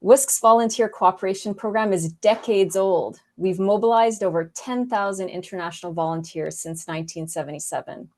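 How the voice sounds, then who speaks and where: A woman's voice: medium pitch, moderate pace, hardly any pauses, a little mellow. A woman manager giving a prepared overview of a volunteer program to an online audience. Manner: reads a prepared organizational overview. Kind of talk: scripted presentation.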